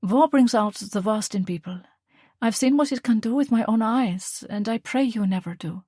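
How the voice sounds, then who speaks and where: A woman reading the part of a calm, loving mother, speaking softly and tenderly. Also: fairly high pitch, normal speed, dry recording, studio quality, voice pushed up, close to an everyday voice. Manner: soft, tender, reassuring.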